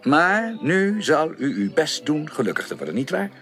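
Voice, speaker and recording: An actor playing an earnest, caring and direct gentleman suitor in a radio drama, speaking formally and emphatically with probing, insistent questions. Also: fairly high pitch, sing-song, moderate pace, soft background music. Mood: warm, insistent. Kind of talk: 1980s Dutch radio drama acting.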